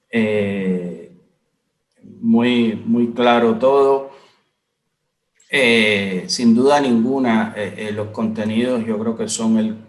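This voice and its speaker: A man from Cuba moderating a webinar panel, talking in a measured way with many hesitant "eh" fillers. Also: mid-range voice. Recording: echoey room.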